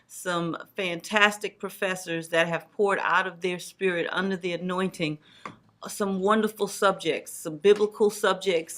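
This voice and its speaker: A woman's voice: mid-range voice, pushed and bright. A woman in her forties giving personal testimony as an evangelist, with emphatic, repeated religious phrasing. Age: 40s. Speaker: guest evangelist and student.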